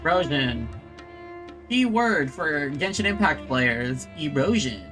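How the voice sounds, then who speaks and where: A streamer chats casually while playing a game. The voice is mid-pitched with animated swings, reacting expressively and musing aloud over clear game music. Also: takes it slowly. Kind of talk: casual live reaction commentary.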